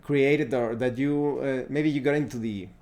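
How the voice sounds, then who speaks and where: A man presenting an unscripted game review, explaining things deliberately and often pausing mid-sentence. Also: mid-range voice, steady tone, a little mellow. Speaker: tabletop RPG reviewer, presenter.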